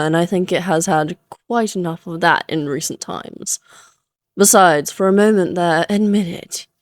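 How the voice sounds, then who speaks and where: A woman's voice: lowish voice, a little mellow, dry recording, lightly acted. A woman playing a playful, flirtatious immortal vampire in a Victorian fantasy audio roleplay, teasing in genteel, archaic phrasing. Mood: amused, chiding.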